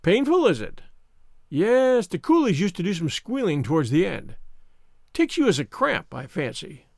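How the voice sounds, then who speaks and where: A man's voice: high-pitched, very animated, moderate pace, vocal fry, a little mellow, no echo, studio quality, heavily acted. A man voicing a smug, cruel villain who taunts and sneers in a dramatized audiobook reading. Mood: cruel, taunting. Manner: taunting, insistent, mock-concerned.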